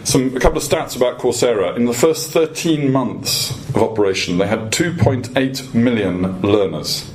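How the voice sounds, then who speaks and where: A man giving a prepared policy presentation to a room as a guest speaker, in a measured, lightly humorous manner with pauses. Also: mid-range voice, a little mellow. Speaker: guest speaker, industry association executive. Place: event room at a dinner debate. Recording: clearly noisy live event recording.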